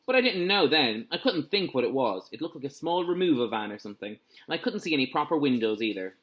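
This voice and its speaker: A man's voice: mid-range voice, big pitch swings, quick pace, fairly bright tone. An earnest schoolboy sleuth from a storybook , read aloud by a man. He reports politely and eagerly, with emphatic lifts in his speech.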